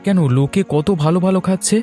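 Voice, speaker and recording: A man's voice: high-pitched, quick pace, dark tone, soft background music, close to an everyday voice. A man voicing an idle grown son from a poor household, whining and argumentative, in a moral-tale cartoon. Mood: sulky, complaining.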